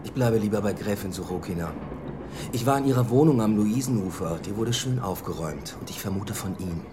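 Low-voiced, terse police inspector played by an actor. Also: male voice, animated, brisk, noisy background, lightly acted. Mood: probing. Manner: dry, clipped, probing. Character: terse, probing male police inspector in 1920s Berlin. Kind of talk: German radio play acting.

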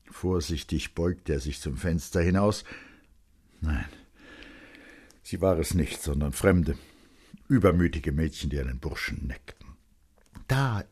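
A man reads literary fiction as a radio narrator. His voice is very low and husky, and he shapes his phrases deliberately, with expressive suspense.

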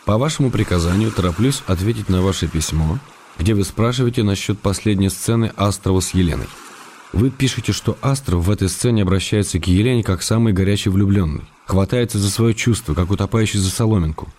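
An actor playing a wry, affectionate middle-aged writer who reads his letters in a measured, gently ironic way for a radio drama. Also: fairly low pitch, slight background noise, lightly acted. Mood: earnest, wistful.

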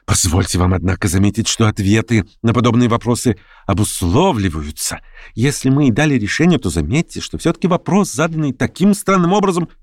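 A man's voice: medium pitch, lively intonation, brisk, hardly any pauses, no echo, studio quality, voice pushed up, lightly acted. A man voicing a prickly, suspicious man in an audiobook, protesting and quibbling bluntly. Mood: indignant. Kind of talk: acted audiobook dialogue.

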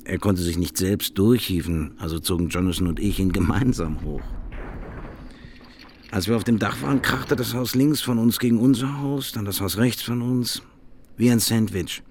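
Schauspieler als Großvater Robert, tiefere Stimme, nüchtern erzählend. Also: male voice, fairly low pitch, moderate pace, a little mellow, slight background noise, natural voice. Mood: tense recounting. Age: older. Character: grandfather Robert steadily recounting his family's flood escape. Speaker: radio drama actor. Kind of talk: dramatic first-person survivor monologue.

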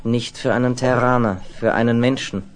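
Ein Schauspieler spricht in einem Science-Fiction-Hörspiel einen wachsamen, körperlosen Geist im Plasma, ernst und gemessen. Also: male voice, medium pitch, fairly even intonation, lightly acted. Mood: calm, reflective. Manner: earnest, measured, declarative. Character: watchful disembodied male mind newly merged with plasma. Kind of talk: 1970s German science fiction radio drama acting.

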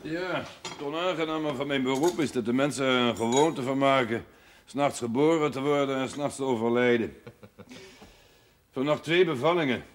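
A man's voice: medium pitch, moderate pace, close to an everyday voice. Dry, sardonic village doctor, played by a man. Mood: dryly jovial. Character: brusque, dry-witted village doctor, condescending and worldly. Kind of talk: period television drama acting.

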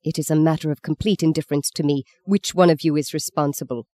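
A narrator voicing a stern, cold elderly nun with a faded Irish accent who barks out slow, clipped reproaches. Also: female voice, deep voice, steady tone, mellow, no echo, close to an everyday voice. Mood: harsh. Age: 70s. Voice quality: dry, clipped. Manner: barking, slow, deliberate.

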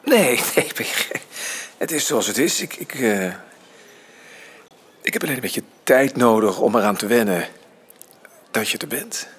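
Husky, halting older father. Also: medium pitch, animated. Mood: flustered. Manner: halting, hesitant, stammering with broken-off phrases. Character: frail, broken, big older father, estranged from his son. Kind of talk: naturalistic radio drama acting.

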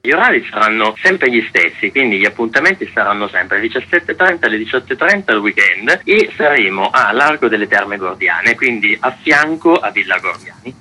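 A man organizing a festival, answering radio interview questions in a measured way with restarts and fillers. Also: fairly low pitch, big pitch swings, sharp tone, husky, low-quality recording. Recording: radio broadcast.

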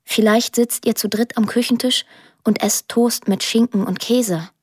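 Verträumtes, einsames Mädchen, belegte Stimme. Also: female voice, a little high, fairly even intonation, unhurried, a little mellow, breathy edge, no room sound, clean studio sound. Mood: tender, lonely. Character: dreamy, lonely twelve-year-old girl, wistful, imaginative. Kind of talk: literary radio drama monologue.

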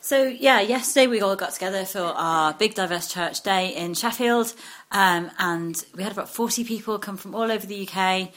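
A woman hosting a casual group chat, lively and laughing often as she leads the games. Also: medium pitch, normal speed, few pauses. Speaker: host.

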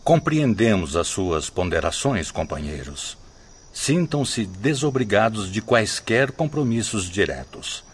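Courteous male emissary, low and very expressive, understanding, gracious radio drama tone. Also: unhurried, slightly rough, heavily acted. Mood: gracious, resigned. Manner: formal, gracious.

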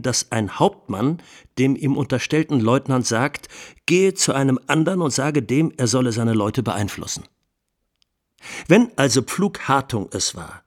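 A man acts out an indignant trial lawyer in a radio play. He has a mid-pitched voice and argues formally and incisively, leaning on rhetorical emphasis as he addresses the court. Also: lightly acted. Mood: indignant, pressing. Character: male trial lawyer, indignant and incisive, arguing before judges. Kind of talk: courtroom plea in a radio drama.

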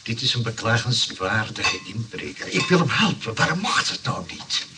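An actor playing an eccentric, stubborn, self-satisfied engineer in a comic radio play, earnest and insistent, with a Netherlands Dutch accent. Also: male voice, mid-range voice, big pitch swings, moderate pace, lightly acted. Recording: distant, noisy old radio recording.

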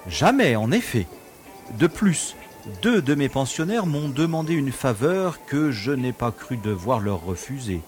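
A man plays a courteous, genial prison director in an audio drama. His speech is florid and polite, with very lively intonation at a middle pitch. There is light music in the background. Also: measured pace. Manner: polite, florid, matter-of-fact.

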